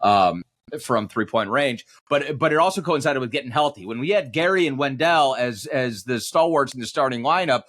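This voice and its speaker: A man's voice: mid-range voice, lively intonation, moderate pace, fairly bright tone. A man who works as a basketball announcer, giving conversational sports analysis as a guest in long, digressive explanations. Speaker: basketball radio play-by-play announcer, podcast guest.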